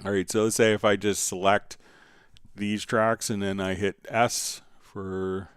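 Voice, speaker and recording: Male livestream instructor, smooth low voice, conversational step-by-step delivery. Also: little pitch movement, unhurried, no room sound, clean studio sound. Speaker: livestream host, software instructor. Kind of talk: casual live tutorial, answering viewer questions.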